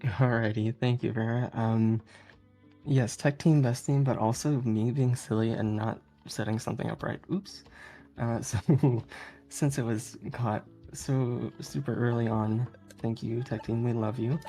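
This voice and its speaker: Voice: medium pitch, fairly even intonation, unhurried, dark tone. Giggly, chatty game streamer over music. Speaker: speedrunner presenting a game run. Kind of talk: casual live gameplay commentary.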